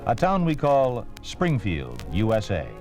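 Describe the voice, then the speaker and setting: Male 1950s radio announcer, measured and formal, animated pitch, music under him. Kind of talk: scripted radio narration. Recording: old radio broadcast with music.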